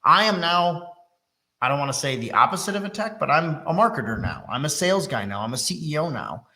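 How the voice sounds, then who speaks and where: A man's voice: fairly high pitch, big pitch swings, fairly quick, sharp tone. A middle-aged man from Texas, a little congested, hosting a live business webinar in a chatty, teasing, self-deprecating way. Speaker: workshop host. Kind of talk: casual live webinar talk.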